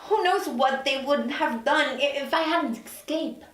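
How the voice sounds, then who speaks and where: Higher-voiced, assertive career woman, acted out. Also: a little slow, fairly bright tone, slight background noise. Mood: indignant. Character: driven, sharp-tongued ambitious woman executive, indignant and wry. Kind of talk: table read acting. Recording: echoey room, distant mic.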